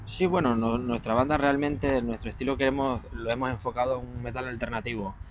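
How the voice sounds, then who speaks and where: Portuguese-born man, band drummer, halting answers, mid-pitched, faint noise behind. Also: steady tone. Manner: hesitant, repeats words. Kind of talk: casual interview answers.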